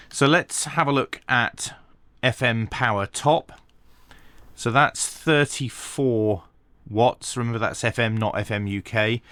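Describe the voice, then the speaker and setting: A man giving a hands-on tech tutorial, talking through each step methodically with pauses and dry asides. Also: fairly low pitch, a bit bright, no room sound. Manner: methodical, thinking aloud with frequent pauses. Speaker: radio reviewer.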